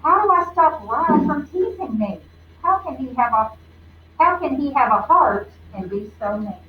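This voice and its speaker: A woman's voice: high voice, animated, moderate pace, voice pushed up. A woman voicing a sensitive, worried young schoolgirl in a children's storybook, earnest and plaintive. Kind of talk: children's storybook read-aloud. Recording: roomy, distant, muffled, faint noise.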